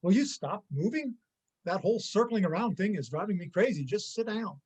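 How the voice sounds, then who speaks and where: High-voiced menacing gangster played by a man. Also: lively intonation, a little fast, darkish tone, slightly rough. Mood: irritated, tense. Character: menacing, sarcastic male gangster robber, jumpy and vengeful. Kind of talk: amateur reader's theater acting.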